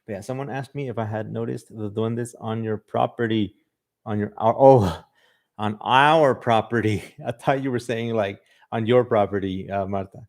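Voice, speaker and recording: Male podcast host, Mexican-tinged accent, lowish voice, rambling livestream chat. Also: fairly even intonation, moderate pace, a little mellow. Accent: Mexican Spanish influence. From Southern California. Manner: loose and chatty, many "like" and "um" fillers. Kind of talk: casual livestream chat reading viewer comments. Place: livestream in front of a camera.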